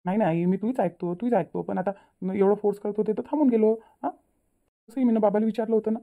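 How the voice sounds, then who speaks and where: A woman's voice: mid-range voice, steady tone, quick pace, lightly acted. A woman voicing an apologetic young newlywed son in a cartoon family drama, pleading and explaining himself in a Vidarbha Marathi accent. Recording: tinny phone-like narrow audio.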